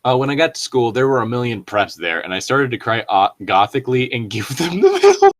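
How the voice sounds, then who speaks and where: A man's voice: medium pitch, wildly swooping pitch, brisk. A man co-hosting a comic read-aloud of bad fan fiction, amused and mocking, with casual sweary commentary. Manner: amused, mocking, breaking into chuckles.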